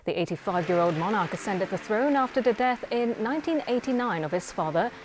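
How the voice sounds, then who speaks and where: Woman reading the news, scripted anchor delivery, mid pitch, bit of background noise. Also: lively intonation, fairly quick.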